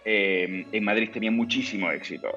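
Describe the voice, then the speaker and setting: A low-voiced man from talks as a singer guest in an interview. He explains with care, pausing to look for the right words. The audio is dull and lo-fi, with faint music behind him. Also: bright, forceful tone. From Spain. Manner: thoughtful, explanatory, searching for words. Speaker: opera and zarzuela baritone guest. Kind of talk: interview conversation. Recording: muffled lo-fi audio.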